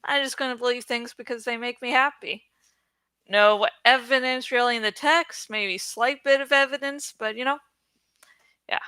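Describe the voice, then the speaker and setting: Bright, clear-voiced woman chatting casually. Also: fairly high pitch, fairly even intonation, normal speed, no echo. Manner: informal, giggly, swears freely. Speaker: host of a book read-along channel. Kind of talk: casual rambling chat about a book.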